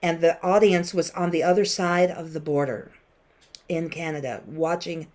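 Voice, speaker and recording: A woman's voice: fairly low pitch, steady tone, moderate pace, a little mellow. A woman in her late fifties, a musician guest-teaching a class over a video call, telling stories and asking students questions in a conversational way. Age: 50s. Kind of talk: online classroom talk.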